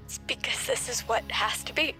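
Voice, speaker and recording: An actress playing a resolute young woman giving an intimate farewell monologue, soft and sometimes whispery. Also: on the high side, moderate pace, close to an everyday voice. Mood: tender, resigned. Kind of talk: intimate recorded farewell monologue, audio drama. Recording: music behind the voice.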